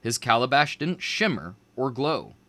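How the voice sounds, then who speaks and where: A man reading a children's chapter book aloud in an expressive storytelling way. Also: mid-range voice, a little slow. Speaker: read-aloud narrator.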